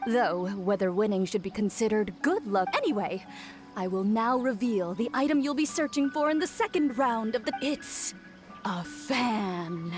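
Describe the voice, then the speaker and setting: A woman voicing a polite, formal female official who announces contest rules in a video game, in a measured way. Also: fairly high pitch, expressive, music in the background. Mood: formal, composed.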